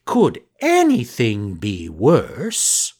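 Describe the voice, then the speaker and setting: Man doing crafty fox, slow drawl, big pitch swings, breathy. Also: wildly swooping pitch, a little mellow, heavily acted. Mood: scheming. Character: sly smooth-talking fox, male, scheming.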